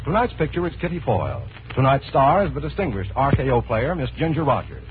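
Stately 1940s radio announcer, swooping delivery. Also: male voice, mid-range voice, big pitch swings, brisk, a little hiss or hum. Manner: stately, emphatic. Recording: dull old radio, loud orchestral music.

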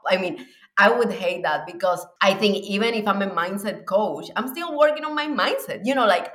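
A woman's voice: fairly low pitch, a bit bright, echoey room. A woman from Cuba with a Puerto Rican accent, a mindset coach speaking as a podcast guest, enthusiastic and reflective, often laughing as she talks. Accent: Puerto Rican Spanish. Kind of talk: casual podcast interview.

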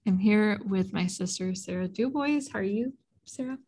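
A woman's voice: medium pitch, moderate pace, dark tone. Woman in her 30s hosting a chill podcast, lots of "like", laughs a bunch. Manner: chatty, laughs often, says "like" a lot. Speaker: podcast host. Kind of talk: casual faith podcast chat. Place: in a room with a co-host, in person.

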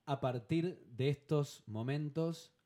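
A man's voice: on the high side, slow, dark tone. A man hosting a radio interview in a studio, asking questions in an upbeat, announcer-like way. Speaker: radio host. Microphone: studio microphone.

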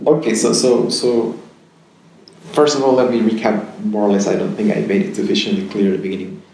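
Podcast guest, man in his 30s from Peru; deep voice, reflective and repetitive delivery; echoey room with faint hum. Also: a little fast, darkish tone. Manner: thoughtful, repeats words and tags sentences with "right?". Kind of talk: conversational interview answers.

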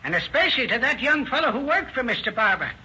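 A very high, lively voice as a folksy, talkative tenant in an old radio play. The tone is sharp and forward, on a dull, hissy old recording. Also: male voice, quick pace, breathy edge, full character voice. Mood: earnest, chatty. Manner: folksy, ungrammatical speech. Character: talkative, well-meaning plain-spoken apartment tenant. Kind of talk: 1930s radio drama acting.

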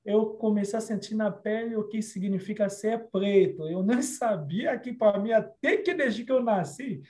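Congolese man telling his story, high voice. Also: moderate pace. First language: not Portuguese. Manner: earnest storytelling with frequent restarts and soft laughs. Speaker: interviewee. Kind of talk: personal life story in an interview.

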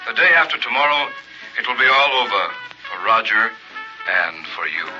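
A man's voice: medium pitch, normal speed, lightly acted. An actor playing a pompous, evasive carnival showman and hypnotist in a radio horror drama. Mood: impatient. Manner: grandiose barker patter, curt when challenged. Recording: old muffled radio recording with music.

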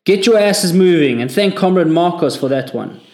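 A man's voice: sits high, expressive, normal speed, voice pushed up. A man voicing a harsh, contemptuous army corporal who barks his orders with scorn, recorded in an echoey room. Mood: barking scorn. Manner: barking, scornful shouting.

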